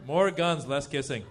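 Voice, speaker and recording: A man trading comic banter as a panelist in short, playful, punchy quips, recorded live with some noise. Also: a little high. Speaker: panelist, comedian. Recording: noisy live ambience.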